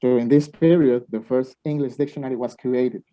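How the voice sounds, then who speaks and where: A man's voice: medium pitch, steady tone, moderate pace, close to an everyday voice. A man playing a blunt, competitive, lovestruck young student in a radio play, challenging those around him. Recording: dull muffled lo-fi recording.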